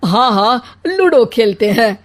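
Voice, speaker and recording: Woman playing an unhurried, crafty older mother-in-law. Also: fairly high pitch, big pitch swings. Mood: challenging. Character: crafty, grumbling older mother-in-law, eager to regain control. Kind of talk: cartoon moral story voice acting.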